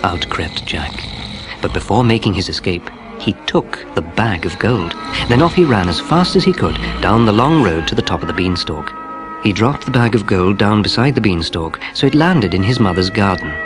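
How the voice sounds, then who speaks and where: A man with a fairly low voice narrates a children's storybook. His scripted delivery is expressive and lively in pitch, with clear music behind him. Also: moderate pace. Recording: music playing underneath.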